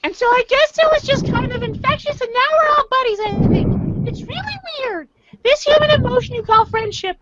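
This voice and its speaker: A woman's voice: very high voice, moderate pace. A woman voicing an irate, condescending, foul-mouthed young male alien troll in an amateur reader's theater, ranting in exasperation. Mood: grudging, irritated. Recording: dull lo-fi home recording, faint noise.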